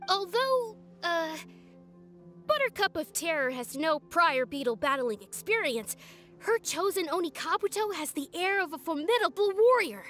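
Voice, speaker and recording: A woman's voice: very high-pitched, big pitch swings, normal speed, over music. A woman voicing an earnest young child grandmaster in a video game, formal, precise and matter-of-fact. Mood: serious. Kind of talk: video game character acting.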